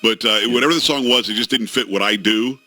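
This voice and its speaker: A man's voice: mid-range voice, fast, no room sound. A middle-aged man hosting a radio talk show, telling jokey stories in casual banter with his co-hosts. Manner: animated storytelling, jokey.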